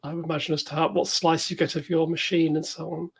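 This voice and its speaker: A woman's voice: low-pitched, level tone, fast, vocal fry, husky. A woman with an English accent chatting casually as co-host of a technical workshop, tentative and thoughtful, often rephrasing as she goes. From England. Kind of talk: casual chat during a live technical workshop.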